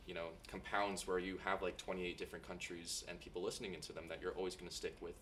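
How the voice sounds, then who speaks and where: A man's voice: low voice, little pitch movement, quick pace, a bit bright, slight background noise. An American man interviewing a band, asking long, rambling questions. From United States. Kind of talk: podcast interview.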